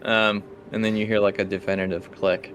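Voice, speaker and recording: Low-voiced man running a tabletop game. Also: flat delivery, fairly quick, fairly bright tone, slight background noise. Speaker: game master. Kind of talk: improvised tabletop game narration.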